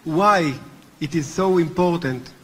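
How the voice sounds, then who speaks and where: A man's voice: fairly high pitch, fairly bright tone, a little hiss or hum. A man with a heavy Hebrew accent, a native Hebrew speaker, gives an earnest formal speech as chairman of a movement at a conference. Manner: earnest, deliberate, with occasional restarts. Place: large hotel conference hall. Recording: long hall reverb.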